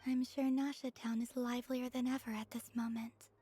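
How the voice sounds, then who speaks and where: Game role: wistful young moon maiden, female actor. Soft, whispery, slightly high, measured delivery. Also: level tone, close to an everyday voice. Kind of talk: scripted dramatic game dialogue. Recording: music behind the voice.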